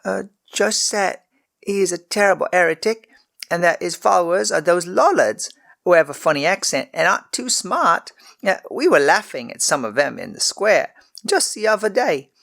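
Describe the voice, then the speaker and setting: A man's voice: very animated, moderate pace, vocal fry, a bit bright, heavily acted. A man putting on a timid little scullery girl. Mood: chattering. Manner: stammering, pleading, high-pitched. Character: timid poor ten-year-old scullery girl.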